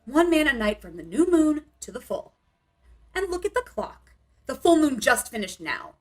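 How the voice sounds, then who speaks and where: A woman's voice: high-pitched, sing-song, normal speed. A woman playing a dramatic, wounded young bohemian punk singer in a motion comic. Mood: wistful, confessional.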